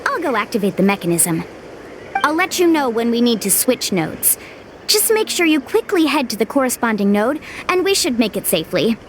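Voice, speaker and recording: A confident young female adventurer in a video game. Her voice is high and lively, swinging freely in pitch as she encourages her companions in an easy, assured way. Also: moderate pace, slight background noise. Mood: decisive. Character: upbeat, confident young woman adventurer guiding her friends. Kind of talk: animated video game voice acting.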